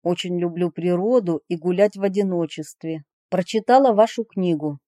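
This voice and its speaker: A woman reading personal letters aloud in an expressive way. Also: fairly low pitch, moderate pace, dry recording, clean studio sound.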